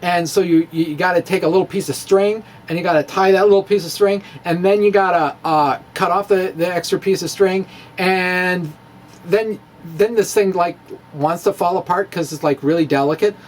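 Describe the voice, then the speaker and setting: A man giving an informal, ad-libbed math demonstration in a halting, rambling, jokey way, as the presenter of a science explainer. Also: high voice. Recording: clearly noisy recording with room ambience.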